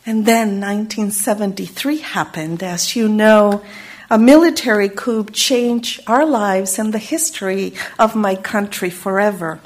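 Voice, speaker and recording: A woman from Chile, giving a slow, reflective Unitarian Universalist sermon and lingering on single words. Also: mid-range voice.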